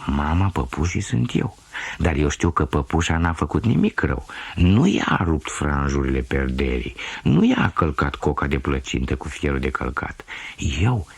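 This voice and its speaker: A man's voice: a bass voice, sing-song, creaky, slightly rough, no echo, exaggerated voice. A man voicing a little girl in a children's story, innocent and eager to explain herself. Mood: remorseful.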